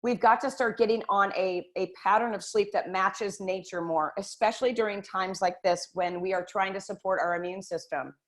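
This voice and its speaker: Woman host, mid-pitched, earnest and direct, talking to viewers over a computer mic. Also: moderate pace, few pauses. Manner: earnest, direct, addresses viewers as "you guys". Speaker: health talk host. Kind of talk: casual motivational health talk. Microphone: computer microphone.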